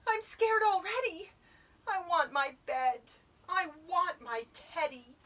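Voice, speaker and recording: Woman as a frightened little boy, very high-pitched. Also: animated, takes it slowly, fairly bright tone, exaggerated voice. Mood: scared, panicky. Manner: gasping, exclamatory. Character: frightened, wide-eyed little boy who lost his teddy. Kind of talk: animated storybook read-aloud.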